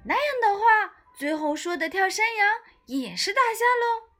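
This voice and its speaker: Lady doing a frank storybook stray cat, food lover, high voice, lively swings. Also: normal speed, faint music behind. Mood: emphatic.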